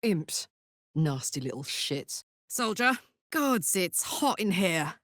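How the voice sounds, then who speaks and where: A woman's voice: lowish voice, big pitch swings, slow, fairly bright tone, no echo, clean studio sound, exaggerated voice. A woman voicing a brash, big-hearted fiery tiefling warrior in a video game, blunt, slangy and swaggering. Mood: disgusted. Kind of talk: video game character voice lines.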